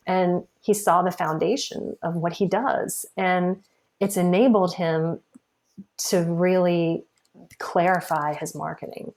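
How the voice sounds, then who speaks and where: A woman's voice: fairly low pitch, a little mellow. A woman guest on a podcast, talking casually about her marketing work, chatty, laughing often and restarting her phrases. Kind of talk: casual interview conversation. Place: podcast recording.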